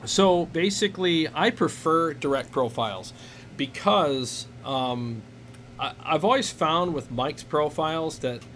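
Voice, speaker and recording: A man's voice: on the high side, expressive, measured pace, fairly bright tone. A man hosting a casual live chat, talking in a jokey, enthusiastic way. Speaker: host of a live gear Q&A stream. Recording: noisy live stream recording.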